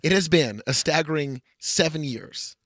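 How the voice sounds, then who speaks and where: A man's voice: on the high side, normal speed, a bit bright, no echo, clean studio sound. A man co-hosting a podcast, talking casually as he reviews and ranks films. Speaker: podcast co-host. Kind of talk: casual film review chat.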